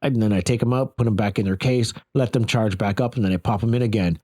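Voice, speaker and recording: A man's voice: lowish voice, quick pace, dark tone. A man giving a casual, conversational first-person gear review, repeating phrases for emphasis. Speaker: product reviewer.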